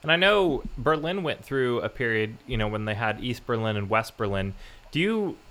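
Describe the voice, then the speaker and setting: A man's voice: mid-range voice, big pitch swings, normal speed, fairly bright tone. A man hosting a podcast, chatting casually and asking follow-up questions. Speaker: podcast host. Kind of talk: casual interview talk. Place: Iceland.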